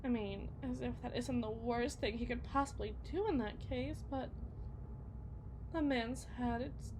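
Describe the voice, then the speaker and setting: A woman playing an anxious, lovesick suburban wife and mother in an ASMR roleplay, speaking in a halting, emotional way. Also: a little high, noisy background, lightly acted. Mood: anxious, desperate. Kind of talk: ASMR roleplay, dramatic monologue.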